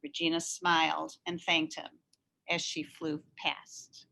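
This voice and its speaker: A woman reading a children's story aloud in an expressive, animated narrating style. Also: low-pitched, slow pace, creaky. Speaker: story reader.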